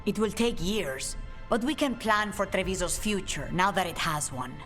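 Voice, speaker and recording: An actress playing a poised, sociable woman of an assassin guild in a video game, speaking in an elegant, measured way. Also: medium pitch, lively intonation, fairly bright tone, soft background music, close to an everyday voice. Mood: gracious, celebratory. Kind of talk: video game cutscene dialogue.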